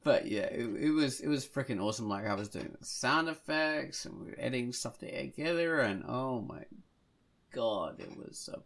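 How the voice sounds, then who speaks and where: A man's voice: medium pitch, animated, moderate pace, vocal fry, fairly bright tone. A man hosting a podcast, telling stories in a casual, rambling, hesitant way. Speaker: podcast host.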